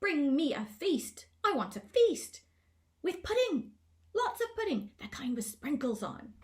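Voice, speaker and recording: A woman's voice: high-pitched, sing-song, a little slow, darkish tone, pitched above the performer's own voice. A woman voicing a self-important male gnome king, bellowing bossy demands like a child in a tantrum, in a storybook reading. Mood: demanding, greedy.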